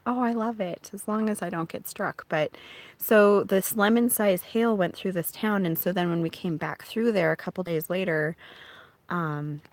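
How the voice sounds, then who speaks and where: A woman from Minnesota casually chatting with viewers on a live stream from her phone outdoors, her voice slightly raspy and congested from allergies, often dropping to a hush. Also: medium pitch, normal speed, no echo. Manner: soft-spoken, often hushed, laughing to herself. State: congested from allergies after a chest cold. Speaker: livestream host. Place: outdoors during a thunderstorm. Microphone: phone held outdoors.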